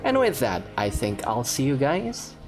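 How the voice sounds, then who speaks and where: A woman's voice: extremely low pitch, wildly swooping pitch, unhurried, music in the background. A woman giving casual, informal let's play commentary as host of a gaming video, reacting a little hesitantly.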